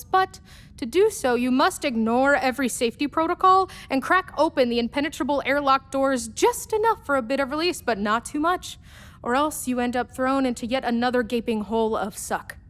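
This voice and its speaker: A woman's voice: sits high, natural voice. An actress playing a self-mocking, troubled woman spaceship engineer, delivering a confiding, wry monologue in a radio play.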